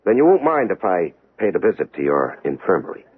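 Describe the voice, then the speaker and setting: Radio drama inspector: low, husky, officious, swinging intonation, narrow tinny vintage sound. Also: male voice, big pitch swings, brisk, full character voice. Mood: formal, probing. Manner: formal, officious. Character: earnest, thorough male government inspector, prim and persistent. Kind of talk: old-time radio drama acting.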